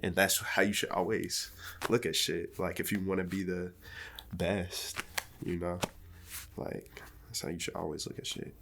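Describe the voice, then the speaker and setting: A man's voice: low voice, little pitch movement, moderate pace, gravelly, background noise. A man in his twenties chatting casually as a podcast guest, laid-back and rambling, swearing freely. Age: 20s.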